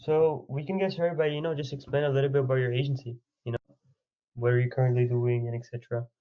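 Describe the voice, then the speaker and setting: A man's voice: medium pitch, flat delivery, quick pace, highs cut off. A man hosting a consulting call, talking loosely with many "you know"s and "like"s. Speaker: consultant host. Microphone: video call.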